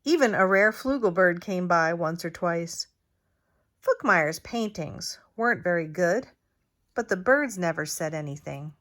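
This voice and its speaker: Slow-paced woman reading a storybook. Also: fairly low pitch. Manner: deliberate, expressive storytelling. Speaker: teacher reading aloud. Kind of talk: storybook read-aloud for young children.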